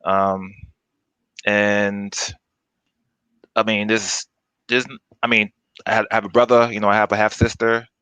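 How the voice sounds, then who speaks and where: Low-voiced Brooklyn man, halting. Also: little pitch movement, sharp tone, clean tone, no room sound, low-quality recording. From Brooklyn, New York. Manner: halting, many fillers and restarts. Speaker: guest. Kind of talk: casual interview chat.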